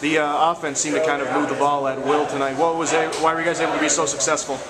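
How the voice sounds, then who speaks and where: A man reporting for a team, asking players brisk, direct postgame questions. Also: a little high, flat delivery. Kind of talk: sideline interview questions. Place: noisy locker room. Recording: loud crowd noise behind.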